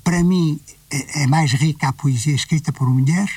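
An older man with a European Portuguese accent talks back over his years in publishing, reflective and fond of repeating a phrase. His voice is mellow and a little husky, and it sits slightly high. It was picked up dry, from some distance off the mic. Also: normal speed, no echo. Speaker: retired book publisher, guest. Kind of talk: casual conversation.

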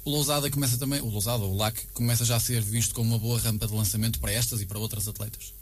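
A man's voice: fairly low pitch, fairly even intonation, very fast. A man with a European Portuguese accent, interviewing guests in a conversational way on sports radio. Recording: clear background noise and hum.

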